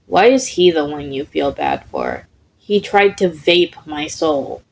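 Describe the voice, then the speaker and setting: An irritable teenage boy, read by a woman in an audio story. He grumbles bluntly in a lowish voice at a slow pace. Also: wildly swooping pitch, a little hiss or hum, exaggerated voice, low-quality recording. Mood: irritated. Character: irritable, blunt, hot-headed teenage male student.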